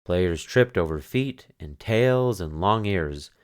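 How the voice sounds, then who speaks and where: Man, narrator, children's book read-aloud, very low voice, slow, lively intonation. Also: clear, resonant tone. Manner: slow, expressive storytelling.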